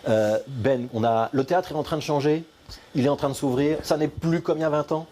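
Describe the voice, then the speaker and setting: A man hosting a TV studio interview, asking quick, conversational questions. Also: on the high side, fairly even intonation, dark tone, a little hiss or hum. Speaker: TV show host.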